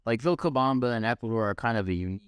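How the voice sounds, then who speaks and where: A man from the United States interviewing a guest in a relaxed way, nudging him along with short replies and open questions. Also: mid-range voice, very fast, smooth, no echo, studio quality. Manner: encouraging, short backchannels. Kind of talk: casual interview.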